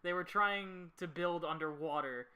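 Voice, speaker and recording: A man giving casual, slightly halting commentary as host of a video about an old film. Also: on the high side, pushed and bright.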